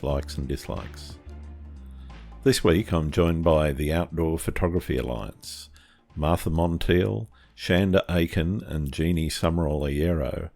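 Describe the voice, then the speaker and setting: A man hosting an interview podcast, reading a scripted intro and then asking relaxed questions. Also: very deep voice. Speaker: podcast host.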